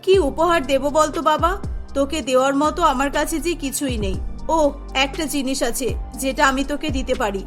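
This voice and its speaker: A woman's voice: high-pitched, moderate pace, soft background music, pitched below the performer's own voice, close to an everyday voice. A woman voicing an overprotective, doting village mother in a cartoon, with an emotional, fussing manner. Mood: tender, proud. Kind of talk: Bengali cartoon voice acting.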